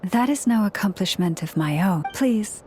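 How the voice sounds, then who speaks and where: A woman playing a serene, modest young priestess in a video game, speaking in a measured, solemn, formal way. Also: medium pitch, animated, a little mellow, soft background music, lightly acted. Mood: humble. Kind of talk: video game storytelling dialogue.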